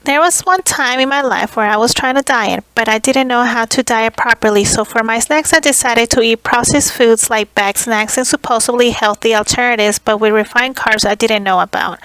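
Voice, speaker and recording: Higher-voiced woman, dry close sound. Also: a bit bright, dry recording, low-quality recording. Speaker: student presenter. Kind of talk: scripted class presentation, question and answer.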